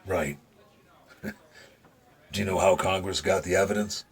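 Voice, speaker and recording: Low-voiced, dying old agent, confiding. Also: male voice, takes it slowly, slightly rough, heavily acted. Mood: resigned, confiding. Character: dying retired Secret Service agent, wry, weary, confiding. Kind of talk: audio drama acting.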